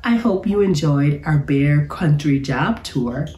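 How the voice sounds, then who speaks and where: Woman as storybook bear professor, cheerful, instructive, encouraging, unhurried, swooping intonation, echoey room. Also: deep voice, expressive, mellow, recorded from a distance. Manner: encouraging, emphatic, explaining to children. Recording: roomy, echoey sound.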